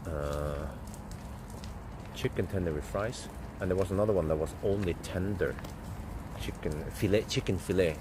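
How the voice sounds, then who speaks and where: A man's voice: moderate pace, long pauses. A man, rambling through casual live-stream chat as host and thinking aloud with fillers and restarts. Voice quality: deep voice. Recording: steady vehicle and street noise.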